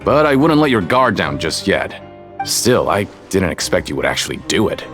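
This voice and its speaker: A man's voice: low voice, very animated, normal speed, a bit bright, faint music behind. A man playing a nosy, superstitious, informal convict in a video game, hesitant and incredulous. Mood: wary. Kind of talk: video game character acting.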